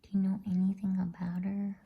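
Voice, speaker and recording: A woman voicing a responsible, bossy older boy in a hushed, breathy ASMR storybook whisper. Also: mid-range voice, completely flat delivery, a little fast, phone-quality sound. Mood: puzzled.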